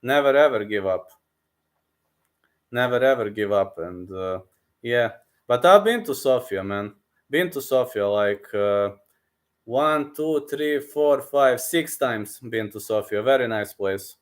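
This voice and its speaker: A man's voice: medium pitch, lively intonation, measured pace. An eager male live-stream host speaking English with a Balkan accent. He talks casually and repeats his phrases, thanking his viewers and calling them man and bro. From Serbia. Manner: enthusiastic, repetitive, keeps calling listeners man and bro.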